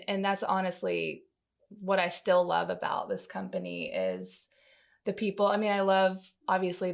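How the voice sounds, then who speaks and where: A woman's voice: on the low side, vocal fry. A woman answering questions conversationally as a podcast guest, in an informal, unpolished way. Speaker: podcast guest, marketing manager.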